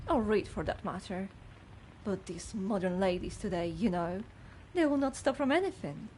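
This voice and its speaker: A woman's voice: medium pitch, darkish tone, a little hiss or hum, natural voice, low-quality recording. A woman playing a gossipy, judgmental older housekeeper in a video game, with a fussy, haughty manner. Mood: prim, approving. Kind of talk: scripted game character dialogue.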